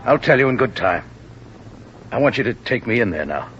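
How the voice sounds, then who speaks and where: A desperate older man confessing a killing to shield someone, his words pleading and full of anguish. It is a 1950s radio drama, the voice moderate in pitch. The recording is a dull, lo-fi old transcription. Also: sing-song, a little fast, fairly bright tone, a little hiss or hum.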